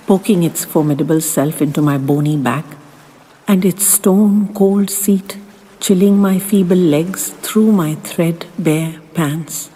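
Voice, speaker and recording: A woman narrating a first-person story in a dramatic audiobook style, with theatrical, deliberate, literary phrasing. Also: sits low, mellow, husky. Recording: long reverb with faint music behind.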